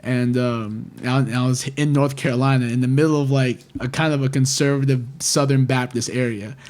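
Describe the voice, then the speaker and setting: A man's voice: mid-range voice, steady tone, moderate pace, darkish tone. A man in his twenties, a guest on a podcast, chatting casually and rambling with lots of "like" fillers. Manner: rambling, heavy use of "like" fillers, talkative. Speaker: record label manager, guest.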